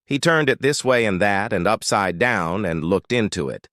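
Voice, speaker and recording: Animated male storyteller, very close dry sound. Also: fairly low pitch, normal speed, no room sound. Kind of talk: dramatic folktale narration.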